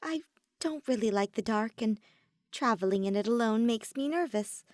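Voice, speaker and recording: A woman's voice: fairly high pitch, lively intonation, normal speed, darkish tone, no room sound, clean studio sound, close to an everyday voice. A woman voicing a timid, easily frightened young woman mage who stammers nervously.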